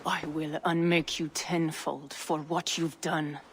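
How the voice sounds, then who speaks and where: An actress playing a vengeful, menacing torturer in a video game, deliberate and coldly relishing revenge. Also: female voice, sits low, little pitch movement, slight background noise. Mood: cold menace. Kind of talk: video game cutscene acting.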